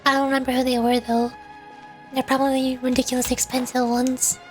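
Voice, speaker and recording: A woman's voice: sits high, flat delivery, fairly quick. A woman VTuber chatting casually to livestream viewers in a rambling, playful way, often repeating words. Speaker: VTuber streamer. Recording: background music. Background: music.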